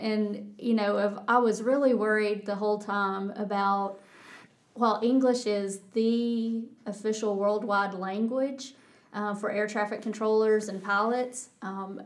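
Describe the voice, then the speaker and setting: A middle-aged woman with a Texas accent, a pilot answering interview questions in a measured way, often restarting her sentences. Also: medium pitch. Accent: Texan. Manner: flat, measured, with many restarts. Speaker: pilot guest.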